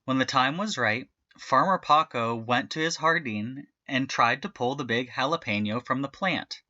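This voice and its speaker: A man narrates a children's storybook with bright, expressive energy. He leans on and draws out key words. The sound is close and dry, a little muffled. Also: medium pitch, normal speed, no echo. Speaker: storybook reader. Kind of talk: animated children's read-aloud narration.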